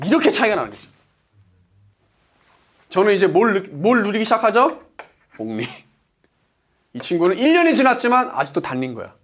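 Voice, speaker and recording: A man's voice: sits high, big pitch swings, normal speed, pauses often. A man in his forties giving a motivational business talk as a coach, emphatic, asking rhetorical questions and answering them himself. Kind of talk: motivational lecture.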